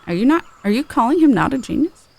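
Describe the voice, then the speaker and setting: A woman's voice: high voice, very animated, fast, creaky. A woman streaming a game, reacting casually as she plays.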